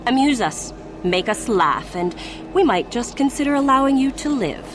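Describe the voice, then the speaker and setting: An actress playing a smug, menacing Sith gang leader in a video game, mock-polite, condescending and deliberate. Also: female voice, fairly high pitch, lively intonation, background noise, lightly acted. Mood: amused condescension.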